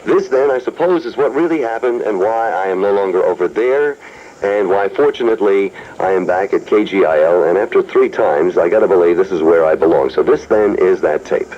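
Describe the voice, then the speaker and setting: A man's voice: low-pitched, lively intonation, fairly quick, darkish tone, slight background noise. Male radio host, casual and conversational, recorded on dull aircheck tape. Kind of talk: casual talk to listeners on air. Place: radio studio.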